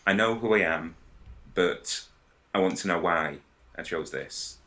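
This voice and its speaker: A man in a casual podcast discussion, explaining his ideas in a hesitant, halting way. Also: low-pitched, bright, forceful tone. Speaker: podcast panellist.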